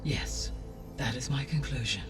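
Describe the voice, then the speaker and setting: A man voicing a calm ancient artificial intelligence in a video game cutscene, speaking in a measured, precise, clinical way. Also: medium pitch, close to an everyday voice. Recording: game cutscene with music, distant.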